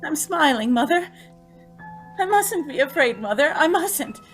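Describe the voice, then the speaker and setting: An anguished, very high-voiced condemned girl. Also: animated, a little slow, faint music behind. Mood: fearful resolve. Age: young adult. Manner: pleading, tremulous, intense. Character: anguished young condemned woman haunted by an inner voice. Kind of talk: old-time radio drama acting.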